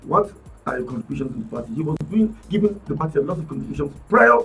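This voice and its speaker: A man with a Nigerian accent, arguing politics on a radio talk panel in an animated, emphatic way, often restarting his words. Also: a little high, fast, recorded from a distance, soft background music. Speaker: political commentator guest. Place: radio studio.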